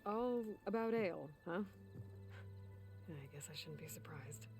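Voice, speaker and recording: A woman's voice: deep voice, expressive, measured pace, a little mellow, soft background music. An actress playing a level-headed young woman adventurer in a video game, matter-of-fact and understated. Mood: calm, wry.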